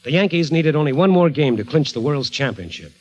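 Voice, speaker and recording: An American actor playing a wry, friendly sidekick who also tells the story in a 1940s radio drama. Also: male voice, on the high side, lively intonation, brisk, highs cut off, natural voice. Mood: reminiscing. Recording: old radio broadcast, loud music.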